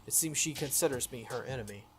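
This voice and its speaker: A video game player reading text aloud and commenting casually, with a slightly halting delivery and stumbles. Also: medium pitch, a bit bright. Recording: music behind the voice. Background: background game music.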